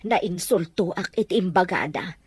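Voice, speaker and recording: A woman's voice: fairly low pitch, moderate pace, breathy edge. A woman playing a proud, earnest provincial mother from a farming family, who speaks in an indignant, earnest way.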